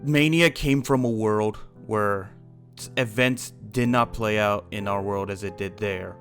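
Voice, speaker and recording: A man's voice: on the low side, unhurried, a bit bright, faint music behind. A man playing an earnest dragon slayer in an improvised fantasy game roleplay, explaining things in a serious way. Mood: earnest, grave.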